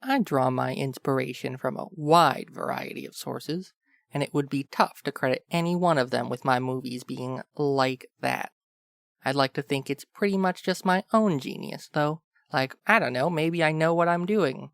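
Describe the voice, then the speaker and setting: A man's voice: medium pitch, normal speed, creaky, a bit bright, clean tone, dry recording. A man playing a cocky, flirtatious captive filmmaker who jokes wryly in an audio fiction drama. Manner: wry, mock-dramatic. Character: cocky, flirtatious captive male filmmaker, joking under interrogation.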